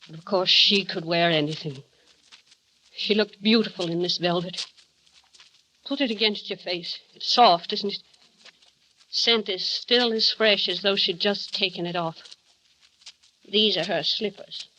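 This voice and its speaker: An actress playing an obsessive, possessive housekeeper in a vintage radio drama, coaxing and insistent. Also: female voice, medium pitch, unhurried, long pauses, bright, forceful tone, a little hiss or hum. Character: obsessive, possessive middle-aged housekeeper woman, coldly devoted. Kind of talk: 1940s radio acting. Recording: dull vintage radio recording.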